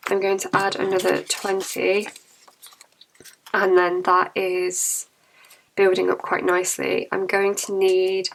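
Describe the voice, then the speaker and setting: A young woman talks casually to camera, her voice gravelly and catching from a cold. She rambles in a chatty, self-correcting way. A faint hiss sits under the recording. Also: mid-range voice, rough recording. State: croaky with a cold. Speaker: budgeting vlogger.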